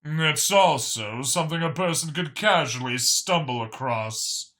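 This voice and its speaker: A man's voice: a little high, expressive, unhurried, sharp tone. A man voicing a suspicious vulture-like alien art thief in a narrated story, dripping with sarcasm. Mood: skeptical.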